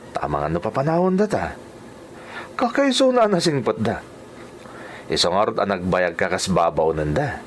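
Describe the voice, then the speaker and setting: A man's voice: deep voice, a little hiss or hum. A man acting a flirtatious, persuasive driver in a radio drama. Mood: coaxing. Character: Lauro, flirtatious, persuasive male driver courting a coworker.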